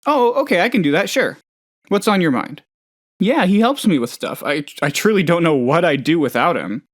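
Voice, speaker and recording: A man's voice: on the high side, animated, moderate pace, no echo, studio quality. A man playing a hapless, trusting, lonely oddball in a video game, talking in a rambling, hesitant, good-natured way. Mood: earnest, naive. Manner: rambling, hesitant, naive, chatty. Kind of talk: video game character dialogue.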